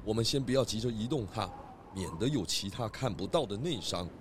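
Low-voiced genial pig detective played by a man. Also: lively intonation, fairly quick, background noise, close to an everyday voice. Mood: businesslike, calm. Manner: polite, probing, easygoing. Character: adult male pig detective inspector, genial, methodical, chuckling. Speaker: detective questioning suspects. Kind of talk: children's audio drama acting.

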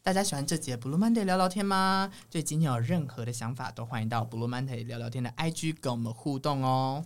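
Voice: mid-range voice, fast talker. A podcast host with a Taiwanese Mandarin accent, chatting casually and upbeat in a playful, teasing manner. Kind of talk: casual upbeat chat show hosting.

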